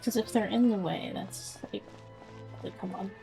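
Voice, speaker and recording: A woman's voice: mid-range voice, normal speed, vocal fry, dark tone. A woman streaming a video game, commenting casually and thinking aloud to herself as she plays. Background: game music.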